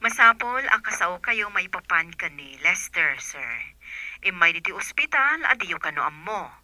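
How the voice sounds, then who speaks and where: A woman's voice: on the low side, big pitch swings, normal speed, sharp tone, no room sound, exaggerated voice, rough recording. A woman playing a businesslike doctor delivering news in a radio drama. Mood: urgent, professional.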